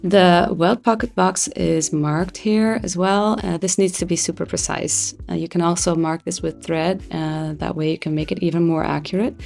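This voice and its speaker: A woman whose first language is Dutch, a pattern designer calmly explaining a sewing project step by step with occasional fillers. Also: lowish voice, moderate pace, creaky, few pauses, faint music behind. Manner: calm, explanatory, with frequent "uh" fillers. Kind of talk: sewing tutorial.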